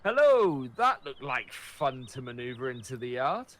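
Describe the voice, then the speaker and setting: A man's voice: medium pitch, big pitch swings, measured pace, a bit bright. A man co-hosting a gaming video, chatting casually in role-play with his partner. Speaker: co-host of a gaming video series.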